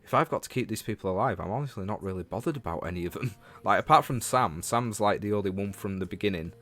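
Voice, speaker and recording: A man's voice: fairly low pitch, quick pace, fairly bright tone. A man from Leeds with a Northern English accent, chatting casually about games as a livestream host and talking to viewers. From Leeds, England.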